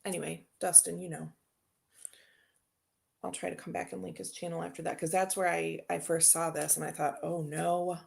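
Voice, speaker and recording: A woman's voice: low voice, little pitch movement, moderate pace, gravelly, darkish tone. A woman hosting a tarot channel, chatting casually and rambling as she unboxes decks and answers viewer comments.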